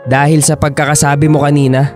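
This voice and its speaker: A man's voice: a little high, level tone, fast talker, over music, lightly acted. A man acting an earnest, insecure young boyfriend in a Filipino radio drama, pressing for commitment. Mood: hurt, accusing.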